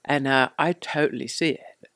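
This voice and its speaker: A woman with a low voice, a podcast guest chatting in a bawdy, animated way and laughing often. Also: moderate pace. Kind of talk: casual chat.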